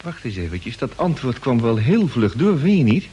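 An actor playing a plain-spoken, suspicious spaceship radio operator in a vintage radio drama, wry and insistent. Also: male voice, mid-range voice, sing-song, normal speed, warm tone, breathy edge, highs cut off. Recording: noisy vintage radio recording.

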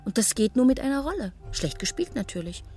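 A woman's voice: on the high side, sing-song, a little fast, mellow, husky. An actress in a German radio play playing a troubled, argumentative woman who reasons insistently and emphatically. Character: troubled, argumentative woman worn down by doctor visits.

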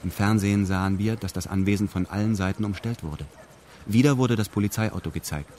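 A man's voice: on the low side, fairly quick, a little mellow, a little hiss or hum. A man narrating a radio drama in a formal, matter-of-fact, detached way. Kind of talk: scripted radio drama narration in first person.

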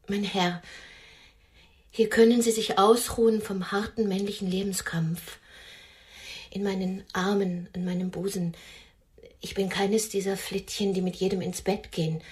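An actress playing a nervous, lonely aging woman in a radio drama, melodramatic and theatrical, at times dropping into a breathy whisper. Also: medium pitch, steady tone, a little slow, long pauses, a little mellow, close to an everyday voice. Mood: seductive. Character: nervous, lonely aging woman rehearsing romantic lines for blind date.

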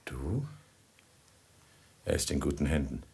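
A man's voice: rumbling low, sing-song, measured pace, vocal fry, a little mellow, husky, exaggerated voice. A man playing a stern, jealous prince in a German radio play, speaking in a curt, commanding way. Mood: cold, suspicious. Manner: curt, imperious.

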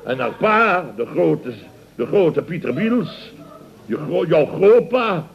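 An actor playing a pompous, easily flustered middle-aged contractor, blustering and stammering in a radio comedy serial. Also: male voice, a little high, very animated, takes it slowly, vocal fry, faint music behind, full character voice. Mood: indignant bluster. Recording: muffled old radio recording.

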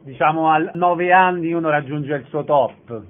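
A man's voice: a little high, normal speed. A man hosting a casual radio interview, putting questions to his guests. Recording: narrow phone-like radio sound, faint noise.

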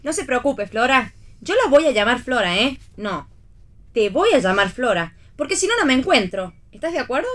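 An actress playing a spirited, sharp-tongued, defiantly cheerful woman who teases directly in a read radio play. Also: on the high side, big pitch swings, normal speed. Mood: teasing, insistent. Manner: teasing, direct, emphatic. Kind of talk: staged reading of a radio play.

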